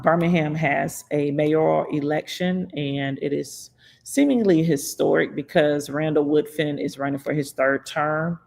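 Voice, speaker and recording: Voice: medium pitch, vocal fry. A livestream host from Florida, talking casually about politics, reading viewer comments aloud and answering them with frequent laughs. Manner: reads chat comments aloud and replies, laughs often, slows down to stress points.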